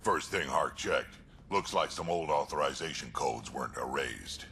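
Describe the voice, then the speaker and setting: Gruff alien warrior, rumbling fry, blunt threats. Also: male voice, lively intonation, moderate pace, pushed and bright, a little hiss or hum, exaggerated voice. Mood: determined. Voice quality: deep gravelly voice. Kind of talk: video game dialogue.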